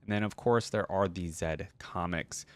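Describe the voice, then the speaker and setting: A man with a fairly low voice speaks in a casual, conversational way as a reaction host. He moves between reading aloud and offering his own commentary to viewers. The sound is very dry, with no room around it. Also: moderate pace, no echo.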